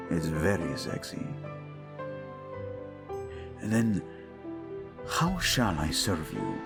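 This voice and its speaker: Slow, teasing king played by a man. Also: sits low, creaky, full character voice. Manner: teasing, playful, tender, chuckling often. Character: teasing, devoted male king, playful with his wife. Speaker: audio roleplay voice actor. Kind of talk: intimate romantic audio roleplay acting.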